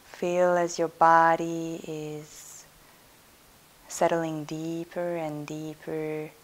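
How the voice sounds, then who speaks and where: A woman leading a yoga nidra guided meditation as instructor, soothing and unhurried, leaving pauses between her instructions. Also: sits low, flat delivery.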